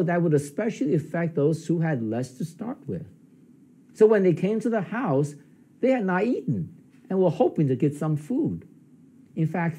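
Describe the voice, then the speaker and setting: An older man preaching a sermon in a plain studio, explaining history in a measured, teaching way. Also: husky. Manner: measured, explanatory preaching with lively pitch rises. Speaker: pastor. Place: studio with plain light backdrop, indoors.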